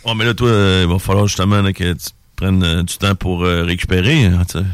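A man's voice: sits low, fairly even intonation, fairly quick, smooth, no echo, studio quality. A man in his forties with a Québécois French accent, hosting a show in a colloquial, teasing, chatty way. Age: 40s. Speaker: radio show host. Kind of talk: casual chat with co-hosts.

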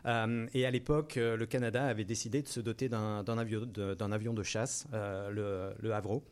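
A man of middle pitch speaks as a guest in an interview. His delivery is very halting, full of hesitations and doubled words. He explains things off the cuff, in small pieces. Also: steady tone. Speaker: interview guest, operations director in aerospace. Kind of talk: unscripted explanatory interview answer.

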